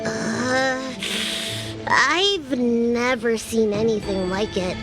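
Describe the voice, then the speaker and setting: Small, perky dragon-girl doctor, game: officious, excitable, high voice, drawn-out delivery. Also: music in the background. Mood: fussy, curious. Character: perky, fussy little dragon-girl healer. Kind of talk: animated game character dialogue.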